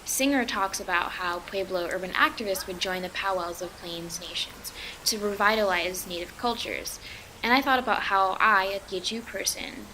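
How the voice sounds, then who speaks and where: Young woman, reflective essay voice-over, soft, near-whispered in places, mid pitch, faint hiss. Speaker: student filmmaker narrating.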